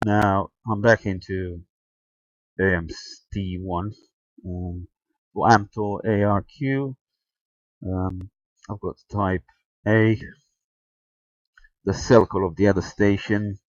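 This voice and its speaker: A man giving a casual hands-on tutorial narration, halting and thinking aloud as he goes. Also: low-pitched, steady tone, dry recording. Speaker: amateur radio video tutorial presenter. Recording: dull, lo-fi close sound.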